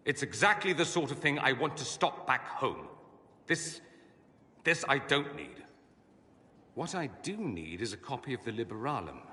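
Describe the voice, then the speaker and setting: An actor playing a witty, self-assured mage scholar in a video game, with an arch, sardonic, eloquent way of talking. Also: male voice, mid-range voice, very animated, moderate pace, bright, forceful tone. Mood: concerned, urgent. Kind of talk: video game cutscene acting. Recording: long hall reverb.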